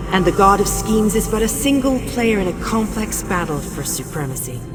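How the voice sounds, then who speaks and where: A woman playing a solemn, commanding goddess in a video game, speaking in a grave, stately and formal manner. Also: a little high. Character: solemn, commanding goddess addressing a mortal hero. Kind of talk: video game dramatic monologue. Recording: long hall reverb, clear music behind.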